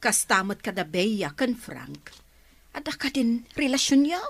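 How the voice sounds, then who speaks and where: A nosy Ilocano relative in a radio drama. She questions slowly in a fairly high voice that rises and falls a lot. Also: sing-song, creaky, a bit bright, heavily acted. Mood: prying. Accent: Filipino, Ilocano. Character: curious, prying female relative questioning a girl.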